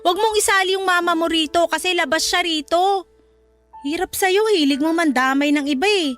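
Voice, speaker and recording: A woman's voice: very high voice, normal speed, fairly bright tone, soft background music. A woman playing a nagging, exasperated young wife and mother in a Filipino radio drama, scolding and sarcastic.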